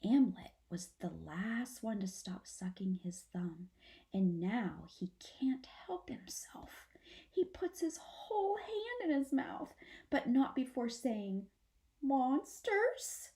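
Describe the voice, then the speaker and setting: A woman's voice: a little high, expressive, slow pace, dark tone. A woman teacher reading a children's story aloud, adding simple explanations and speaking directly to her young listeners.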